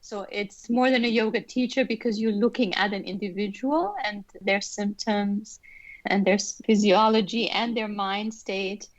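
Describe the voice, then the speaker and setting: A woman's voice: medium pitch, steady tone, normal speed, no echo. A middle-aged woman from Austria, a guest answering interview questions thoughtfully with light laughs. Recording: dull lo-fi sound, dry.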